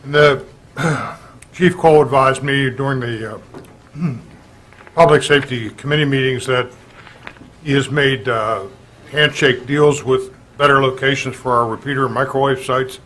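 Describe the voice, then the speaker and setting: A man, a local official, giving an informational report at a public meeting in halting short phrases. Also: mid-range voice, steady tone, a bit bright, low-quality recording. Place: indoor public council meeting.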